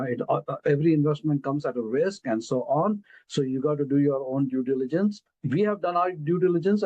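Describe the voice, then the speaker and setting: Indian-accented man, slow deliberate presenter, filler-heavy; dull, dry call audio. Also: a little high, a little mellow, dry recording. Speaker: presenter of an investment pitch. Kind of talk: casual webinar presentation. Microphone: video call.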